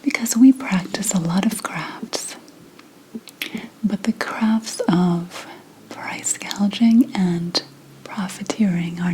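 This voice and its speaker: Slow whispering witch, played by a woman. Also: mid-range voice, a little mellow, a little hiss or hum, natural voice, low-quality recording. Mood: bitter. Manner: slow, deliberate, scornful whispering with pauses and sighs. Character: indignant 17th-century witch, bitter potion-maker and healer. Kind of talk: whispered ASMR roleplay.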